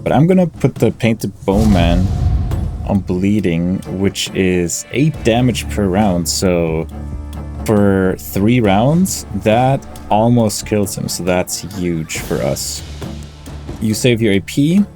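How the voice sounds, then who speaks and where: A man giving casual let's play commentary, thinking aloud in a deliberate, low-key way. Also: animated, warm tone. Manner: deliberate, low-key, talks through tactics. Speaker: gaming YouTuber. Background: game music.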